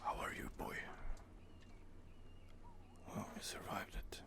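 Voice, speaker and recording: A man whispering soft, hesitant commentary while playing a video game, close to the microphone. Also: takes it slowly. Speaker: gaming ASMR creator. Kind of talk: whispered ASMR gameplay commentary.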